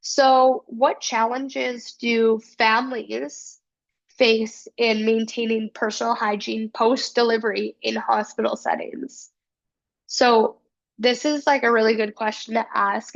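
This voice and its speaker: A woman's voice: a little high, vocal fry, muffled recording. A woman with a cold giving a casual academic presentation, earnest and full of fillers. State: has a cold. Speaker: student presenter.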